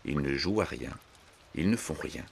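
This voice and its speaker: A man narrating a scripted children's story in measured, simple sentences. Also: a bass voice, expressive, gravelly, a little hiss or hum.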